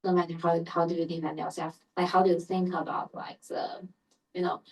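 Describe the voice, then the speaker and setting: A woman in an audience asking a casual question in a hesitant, halting way. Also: lowish voice, level tone, darkish tone, rough recording.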